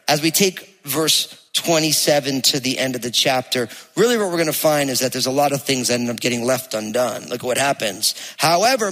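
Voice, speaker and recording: A man with a New Jersey accent preaching a sermon to a congregation, speaking conversationally and with emphasis, slowing down to read scripture aloud. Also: on the high side, pushed and bright. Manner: conversational, emphatic, slows to read place names. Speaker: pastor. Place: church hall with live congregation. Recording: long reverb of a church hall.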